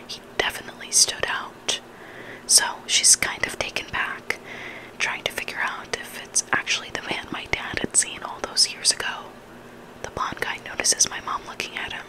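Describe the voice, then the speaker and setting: Whispering ASMR storyteller. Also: poor audio. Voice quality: breathy unvoiced whisper. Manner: hushed, deliberate reading in short phrases. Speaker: ASMR reader of online stories.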